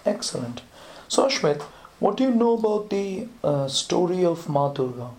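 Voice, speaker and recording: Low, mellow-voiced woman interviewer. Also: very animated, moderate pace. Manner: encouraging, short praising prompts. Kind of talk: casual interview with a child.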